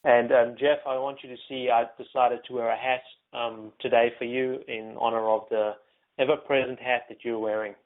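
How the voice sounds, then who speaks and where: A man's voice: medium pitch, level tone, normal speed. A man from South Africa with a South African accent, moderating a panel webinar in an easygoing way with many ums and uhs. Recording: phone-like narrow audio.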